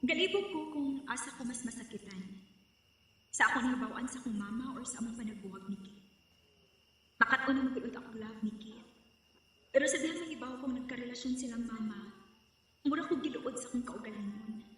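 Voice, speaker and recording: A woman's voice: fairly high pitch, fairly quick, pauses often, fairly bright tone, breathy edge. An actress playing a guarded, proud young student woman in a radio drama, wounded and sincere. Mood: sad, reflective. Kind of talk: radio drama acting and narration.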